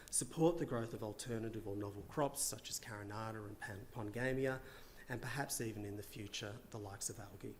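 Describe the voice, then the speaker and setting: Hoarse male official, mid pitch, measured presentation delivery over faint background noise. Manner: measured, partly read remarks with frequent uhs. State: losing his voice. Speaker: government official. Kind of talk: conference panel presentation.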